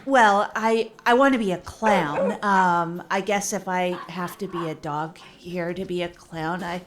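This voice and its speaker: A woman's voice: lowish voice, unhurried, background noise, natural voice. A woman playing an earnest, self-effacing radio host who tells her misadventures in a chatty, hesitant, improvised way. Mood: hesitant, shy. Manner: hesitant, rambling, chatty, chuckles at herself. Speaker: narrator and radio show host. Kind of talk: improvised first-person audio drama monologue.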